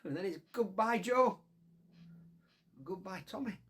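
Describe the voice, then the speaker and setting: A woman playing an anxious young male miner in a radio play, blunt and wry, with a husky voice and a Northern English accent. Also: medium pitch, lively intonation, unhurried. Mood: fearful, sardonic. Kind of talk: radio drama acting.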